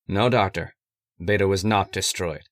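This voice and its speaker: Man playing a low, coldly menacing robot villain. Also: no room sound, studio quality, lightly acted. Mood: menacing, triumphant. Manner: deliberate, grandiose villainous pronouncements. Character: megalomaniac robot disguised as a man, menacing and coolly certain. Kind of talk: old-time radio horror drama acting.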